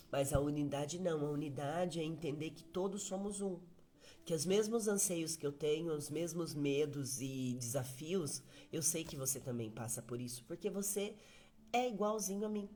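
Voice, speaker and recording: A woman's voice: sits low, dark tone. A woman giving a morning devotional talk, speaking earnestly, asking listeners questions and repeating key phrases for emphasis.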